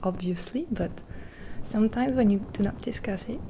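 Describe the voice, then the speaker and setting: A caring woman talks in a hushed, partly whispered voice right up against a sensitive mic. Her tone is mellow and warm, and her pace drifts slowly with soft pauses. A faint hiss sits underneath. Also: medium pitch. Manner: gentle, half-whispered rambling with pauses; caring, reassuring. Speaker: ASMR creator. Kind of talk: soft-spoken ASMR roleplay.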